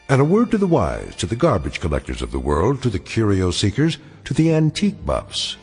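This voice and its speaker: A knowing radio drama narrator, wry and portentous. His lowish voice moves expressively through measured phrases, with soft music underneath. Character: wry, knowing anthology narrator delivering a moral.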